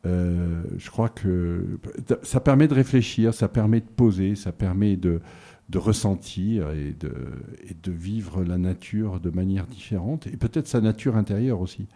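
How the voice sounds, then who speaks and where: Low, dark-voiced hesitant man. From southern France. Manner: hesitant, many fillers and restarts. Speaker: interview guest. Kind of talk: relaxed conversational interview.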